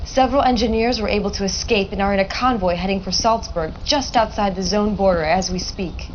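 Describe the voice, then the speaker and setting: An actress playing an earnest, businesslike military intelligence officer giving a briefing in a video game. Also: female voice, medium pitch, fairly quick, lightly acted. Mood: tense, businesslike. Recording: dull lo-fi audio, faint noise.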